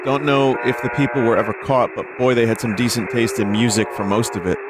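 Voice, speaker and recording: A man's voice: medium pitch, steady tone, fairly quick, heavy background noise. A man hosting a livestream, chatting casually and wryly as he reacts to recordings. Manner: wry, joking. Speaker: streamer hosting a listening session. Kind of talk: casual live commentary, chatting with viewers. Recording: music playing behind the voice. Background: radio recordings and music.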